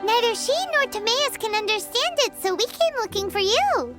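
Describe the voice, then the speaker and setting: A tiny, excitable fairy companion chatters in a squeaky, childlike voice, her pitch bouncing wildly up and down. She is eager and talkative, and speaks of herself in the third person. Loud game music plays beneath her. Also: normal speed. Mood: chatty. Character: small floating female fairy companion, excitable. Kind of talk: video game dialogue.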